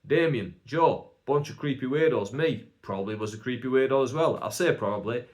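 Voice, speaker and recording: A man's voice: medium pitch, fairly quick. A young man with a Bolton accent, a stand-up comedian rambling through a casual, self-mocking solo podcast with lots of "like" fillers. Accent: Northern English, Bolton. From England.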